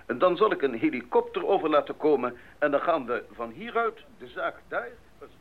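An actor playing a decisive, concerned mission doctor in a Dutch radio drama. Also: male voice, fairly high pitch, sing-song, brisk. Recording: dull recording with clear background noise.

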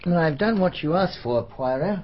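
An actor playing a plain-spoken man in a BBC radio drama who reports facts in a measured, matter-of-fact way. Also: on the high side, lively intonation, a little hiss or hum, close to an everyday voice. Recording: dull archive radio recording.

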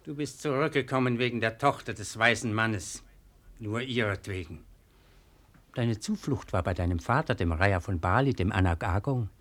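An actor playing an old, weary and suspicious Malay ruler in a German radio play, speaking in a measured, authoritative way with a husky voice. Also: male voice, on the low side, fairly bright tone, natural voice.

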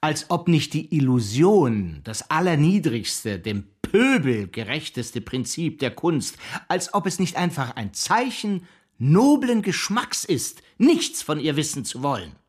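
Radio drama: pompous reactionary scholar. Rough-edged voice, very animated intonation, scornful rhetorical lecturing. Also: male voice, fairly high pitch, a little slow, heavily acted. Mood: haughty lecturing. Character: mocking, provocative arch-conservative private scholar, a cultural philosopher. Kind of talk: German radio drama acting.

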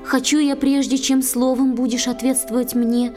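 A woman playing a gentle, humble young water-spirit bride full of tender sorrow in a poetic verse audio drama. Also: high voice, flat delivery, normal speed, music in the background, natural voice. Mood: tender longing.

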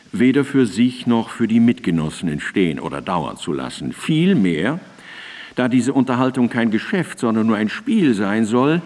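Radio drama: earnest male philosopher, formal and deliberate, very varied intonation, hall reverb. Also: medium pitch. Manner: formal, deliberate, emphatic enumeration. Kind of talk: literary radio drama monologue, recited philosophical prose.

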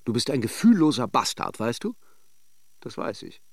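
An actor playing a brooding, introspective lover in a radio drama, speaking measured, self-questioning lines. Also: male voice, mid-range voice, sing-song, vocal fry, husky, dry recording, clean studio sound, full character voice. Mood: self-reproaching, agitated. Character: brooding male narrator-lover arguing with his own doubts. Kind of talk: literary radio drama monologue.

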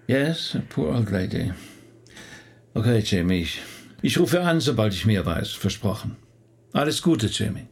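An actor playing a thoughtful, courteous middle-aged rabbi in a radio play, with a gravelly, husky voice and a hesitant way of talking. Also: male voice, lowish voice, sing-song, unhurried, full character voice. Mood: polite, subdued. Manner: reflective, hesitant, polite.

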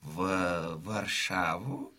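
A man's voice: sits low, fairly even intonation, drawn-out words, pushed and bright, exaggerated voice. An actor playing a fawning, anxious, money-loving innkeeper in a radio drama, wailing and exclaiming as he speaks. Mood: wary, alarmed.

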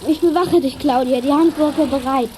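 Woman doing a little boy sidekick, eager n loyal, high soft-edged voice, radio play, faint noise. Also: moderate pace, natural voice, rough recording. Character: Bömmel, loyal, protective young boy sidekick. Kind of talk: 1980s German children's radio play acting.

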